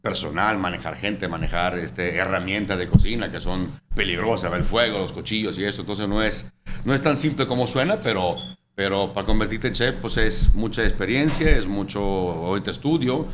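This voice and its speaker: A man's voice: on the low side, normal speed. A man in his forties from Italy with an Italian accent, a chef and restaurant owner talking casually in an interview, rambling with lots of fillers and tag questions. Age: 40s. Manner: rambling, full of fillers and "¿verdad?". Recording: noisy room ambience.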